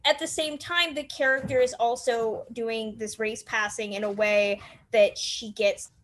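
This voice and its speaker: A woman hosting a casual film discussion, talking in an animated way with wry asides. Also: a little high, unhurried, a little hiss or hum. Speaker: host of a film discussion podcast.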